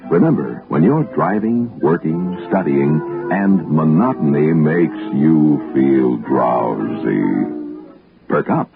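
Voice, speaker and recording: Very deep, gravelly American radio pitchman. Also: animated, takes it slowly. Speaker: commercial announcer. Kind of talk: vintage radio advertisement. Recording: narrow-band old radio recording with music.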